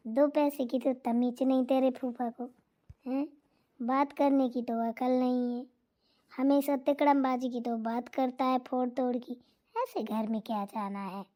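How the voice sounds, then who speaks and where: A woman's voice: high-pitched, darkish tone. A woman voicing a fussy, scolding older mother-in-law in a cartoon. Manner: scolding, complaining, drawn-out. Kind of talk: cartoon voice acting.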